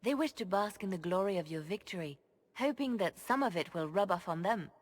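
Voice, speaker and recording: A woman playing a shrewd spymaster in a video game, speaking in a measured, formal, knowing way. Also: medium pitch, animated, natural voice. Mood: wry, assured. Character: composed, shrewd female spymaster advising her lord. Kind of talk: video game cutscene voice acting. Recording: music behind the dialogue.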